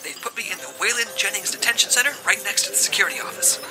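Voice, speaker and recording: A woman's voice: mid-range voice, lively intonation, rapid-fire, over music, lightly acted. A woman playing a wry, theatrical agent speaking from detention. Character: agent Skip, stuck in detention.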